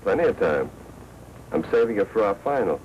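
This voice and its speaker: Wry american newspaper boss from old noir movie, talks fast, commanding, hissy sound. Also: male voice, lowish voice, sing-song, a little mellow. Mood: cool, guarded. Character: brisk, tough, wisecracking male newspaper editor. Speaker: actor in a crime film. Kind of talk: 1940s film noir acting. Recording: muffled 1940s film soundtrack with hiss.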